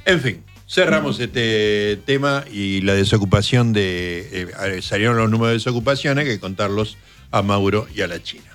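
Argentine radio cohost, lowish voice, dry wry chat, faint music behind. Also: male voice, moderate pace, a bit bright. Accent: Rioplatense Argentine Spanish. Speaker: radio co-host.

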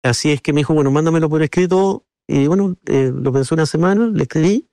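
Chilean man, slightly high-pitched, dry recording. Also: darkish tone, dry recording. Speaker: interview guest, businessman and board member of a business council. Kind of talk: conversational interview answers with anecdotes.

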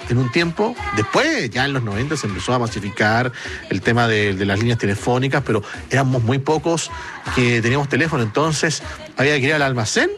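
A man's voice: medium pitch, animated, brisk, fairly bright tone. A man with a Chilean accent hosting a casual late-night radio chat, talking colloquially with frequent tag questions and reading out listener messages. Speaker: radio host. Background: music behind the voice.

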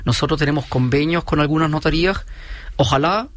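A man in his forties with a German-Chilean accent, a company founder calmly explaining his business point by point in an interview. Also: a little high, fairly quick, no echo. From Chile, raised in Germany. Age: 40s. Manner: steady, methodical, explains point by point.

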